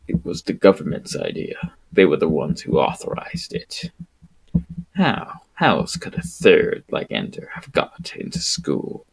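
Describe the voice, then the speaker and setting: A man streaming solo, reading aloud and chatting casually in an unhurried, rambling, wry manner. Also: on the low side, vocal fry, darkish tone, slightly rough, rough recording. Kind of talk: audiobook-style reading and casual livestream chat.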